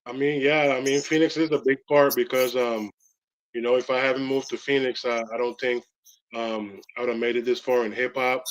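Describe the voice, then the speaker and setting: A Belizean man speaks in a level, monotone pitch with little rise or fall. He answers casually and hesitantly, stopping often on fillers as he gathers his thoughts. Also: poor audio. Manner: halting, many fillers. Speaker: rapper guest.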